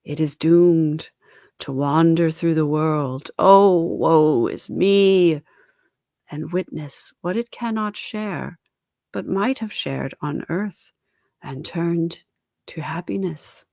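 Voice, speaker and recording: A mournful ghost of a dead businessman, acted out by a woman for a storybook reading. The words are drawn out very slowly, swelling into anguished wails and sinking into breathy whispers. The sound is close and dry. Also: low-pitched, dark tone, dry recording, full character voice. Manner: slow, drawn-out wailing delivery with breathy whispers.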